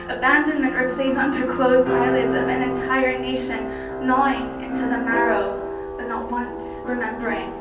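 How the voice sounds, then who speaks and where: A woman from Cyprus recites spoken-word poetry in a fairly high voice, phrasing it deliberately with dramatic pauses. The sound is heavily echoing and picked up from some distance. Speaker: poet. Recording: very echoey, distant mic, loud music. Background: loud music.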